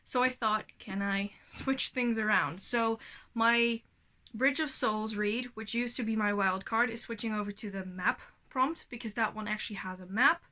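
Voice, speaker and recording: A woman's voice: on the high side, moderate pace, sharp tone. A woman, giving a gushing, chatty reading update at home. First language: Dutch. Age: 20s. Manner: chatty, gushing, self-correcting. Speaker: book vlogger. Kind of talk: casual reading vlog update.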